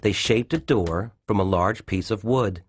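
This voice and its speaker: A man's voice: lowish voice, measured pace, slight background noise. A narrator, a man, reading a scripted children's story.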